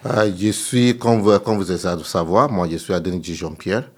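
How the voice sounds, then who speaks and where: Halting deep-voiced man. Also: a little mellow. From Nigeria. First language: English. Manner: hesitant, searches for French words. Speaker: radio guest. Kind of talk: halting casual speech on air.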